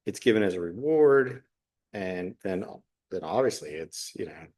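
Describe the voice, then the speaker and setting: Man, middle-aged, lowish pitch, unscripted podcast monologue, reflective, halting phrasing. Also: expressive, darkish tone. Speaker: podcast host, special education teacher. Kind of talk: unscripted solo podcast talk.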